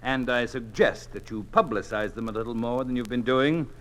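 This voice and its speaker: An actor as a cold, imperious government official. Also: male voice, mid-range voice, normal speed, a bit bright, close to an everyday voice. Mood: stern. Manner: curt, imperious, formal. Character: cold hardline male ministry official, menacing and contemptuous. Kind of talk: 1950s radio drama acting.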